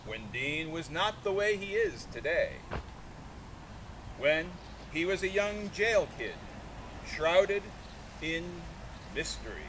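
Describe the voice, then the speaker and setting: Male reader for live outdoor literary event: declamatory, broken phrasing, very animated pitch, faint background noise. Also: takes it slowly, pauses often. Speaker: artist reading aloud and taking questions. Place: outdoors in a sculpture park. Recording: noisy outdoor recording with vehicle rumble.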